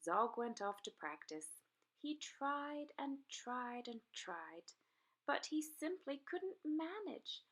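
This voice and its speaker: Slow, animated woman reading a storybook. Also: sits high. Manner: expressive, rhythmic delivery. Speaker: preschool story reader. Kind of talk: children's storybook narration.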